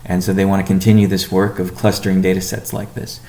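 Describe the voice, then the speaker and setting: Guy ceo doing a conference call update, low voice w lots of vocal fry, careful and halting. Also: little pitch movement, dark tone. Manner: halting, measured delivery with deliberate pauses. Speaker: company chief executive giving a weekly update. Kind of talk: business conference call presentation.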